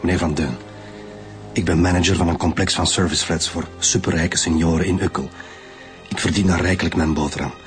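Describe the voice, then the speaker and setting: A man's voice: low voice, steady tone, brisk, natural voice. An actor with a Flemish accent playing an articulate, bitter male lecturer confessing to murder in a radio drama. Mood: bitter, candid. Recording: music behind the voice.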